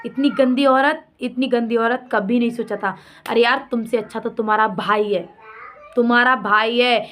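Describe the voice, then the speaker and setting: A woman's voice: a little high, steady tone, moderate pace, a bit bright. A woman vlogger giving an unscripted, opinionated rant, indignant and scolding, pressing her points with rhetorical questions and repetition. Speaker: vlogger commenting on a personal drama. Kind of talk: unscripted opinionated rant addressed to absent people.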